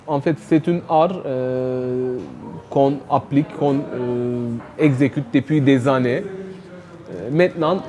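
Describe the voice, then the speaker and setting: Slow-speaking man with a Turkish accent. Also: on the high side. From Turkey. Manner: slow, many pauses and fillers, soft laughs. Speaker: guest, writer and calligrapher. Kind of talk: hesitant interview answers.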